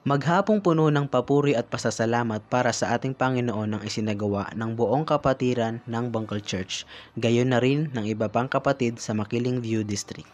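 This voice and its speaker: A young man reporting church news in a measured, formal voice-over. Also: mid-range voice. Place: church hall, indoors.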